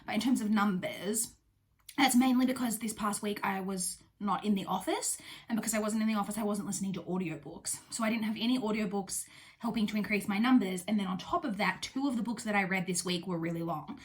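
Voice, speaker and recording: A woman's voice: medium pitch, brisk. A young adult woman with a blocked-up, nasal voice from a cold, chatting casually through a book review with lots of ums and likes. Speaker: booktuber host.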